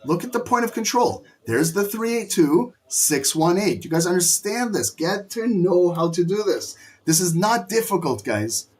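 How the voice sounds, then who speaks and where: A middle-aged man with a slightly high voice, worn hoarse from talking loudly. He teaches a live trading session with emphatic, scolding energy. He is animated and keeps pushing the lesson forward. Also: normal speed, hardly any pauses. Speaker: trading instructor.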